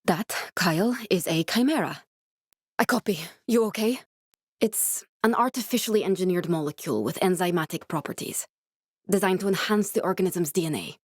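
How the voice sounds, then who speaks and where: An actress playing a guarded, driven scientist in a video game, speaking in a measured, clinical way. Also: female voice, on the low side, animated, dry recording, clean studio sound. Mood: dry, matter-of-fact. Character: guarded, driven woman scientist guiding a survivor, dry and earnest. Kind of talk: video game character voice acting.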